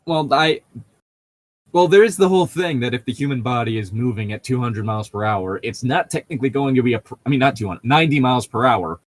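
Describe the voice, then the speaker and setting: A man's voice: medium pitch, quick pace, no echo. A man chatting casually as a podcast co-host, explaining things in a nerdy way and often restarting his sentences. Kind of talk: casual chat.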